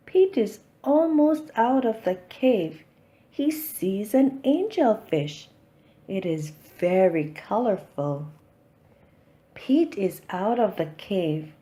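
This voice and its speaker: A woman reading a children's picture book aloud, with expressive, deliberate storytelling for young listeners. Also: mid-range voice.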